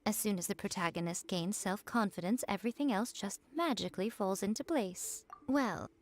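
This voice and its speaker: A woman's voice: medium pitch, moderate pace, natural voice. Woman playing a sly, teasing shrine priestess. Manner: wry, languid, playfully condescending. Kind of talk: video game voice acting. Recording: background music under the voice.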